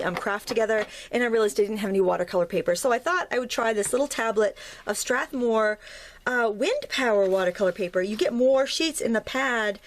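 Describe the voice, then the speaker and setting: Chatty hoarse woman craft vlogger. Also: fairly high pitch, a little hiss or hum. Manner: chatty, rambling, friendly asides. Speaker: craft video host. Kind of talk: casual haul chat. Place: home craft studio.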